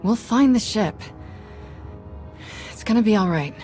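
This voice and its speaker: An actress playing a practical woman fighter, brisk, reassuring and lightly joking. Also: medium pitch, animated, over music, natural voice. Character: upbeat, practical woman fighter who reassures her companions.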